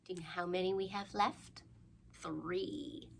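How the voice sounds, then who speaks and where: A woman's voice: fairly low pitch, huge pitch swings, a little slow, gravelly, slight background noise. A woman hosting a children's storytime, leading rhymes and crafts in a playful, encouraging manner and inviting young listeners to join in.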